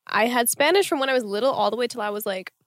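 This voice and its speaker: A woman's voice: on the high side, lively intonation, rapid-fire, no room sound, studio quality. A young adult woman chatting as a guest, rambling with lots of fillers. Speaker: guest, singer. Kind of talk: casual chat.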